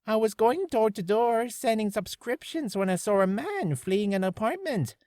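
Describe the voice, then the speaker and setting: A man voicing an earnest, uneasy male witness who recounts in a measured, dramatic way for a game reel. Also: high voice, very animated, a little mellow, no echo, studio quality, pitched above the performer's own voice. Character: earnest, shaken male witness giving testimony. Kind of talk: character voice reel, game acting.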